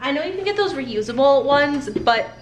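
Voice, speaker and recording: A woman's voice: high-pitched, animated, moderate pace. A young woman vlogging casually from her home kitchen, upbeat and rambling, a little congested from a head cold. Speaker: homestead cooking vlogger. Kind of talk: casual kitchen vlog chat. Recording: echoey kitchen, faint room noise.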